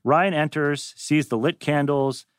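A man's voice: fairly high pitch, fairly even intonation, measured pace, clear, resonant tone, no echo, studio quality. A man narrating script stage directions at a live table read, slipping in casual asides.

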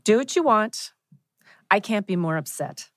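A woman's voice: mid-range voice, expressive, a little slow, fairly bright tone. A woman playing a hurt, bitter, coolly sarcastic wife in a TV drama table read.

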